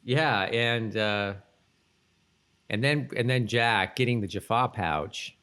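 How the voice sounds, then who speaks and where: A man's voice: medium pitch, takes it slowly, bright, forceful tone, clean tone. A middle-aged man co-hosting a casual podcast recap of a TV show, thinking aloud in a hesitant, rambling way. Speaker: podcast co-host. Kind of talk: casual TV episode recap chat.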